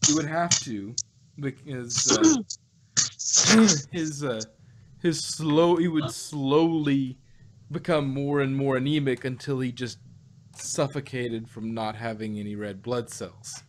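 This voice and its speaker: A man's voice: mid-range voice, slow pace, slight background noise. A man co-hosting an audio drama review in casual conversation, rambling and often stammering or repeating himself as he works through a thought. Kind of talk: casual chat.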